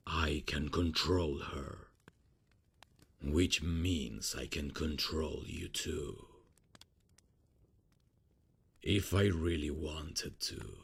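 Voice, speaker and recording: Male, actor as domineering vampire patriarch, very husky and creaky, slow commanding menace for dark audio roleplay. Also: a bass voice, full character voice. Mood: threatening. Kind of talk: dramatic ASMR audio roleplay.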